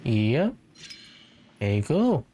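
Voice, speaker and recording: A man's voice: mid-range voice, very animated, drawn-out words, mellow, slight background noise. A man giving casual gameplay commentary, musing aloud in a chatty way to his viewers. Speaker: gaming streamer.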